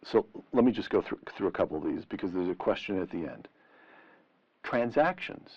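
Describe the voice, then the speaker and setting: A middle-aged man interviewing in a deliberate, rhetorical and emphatic way, on a lo-fi vintage radio style recording. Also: sits low, big pitch swings, a little mellow, slightly rough. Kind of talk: long-form interview questioning. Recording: dull, lo-fi vintage radio sound.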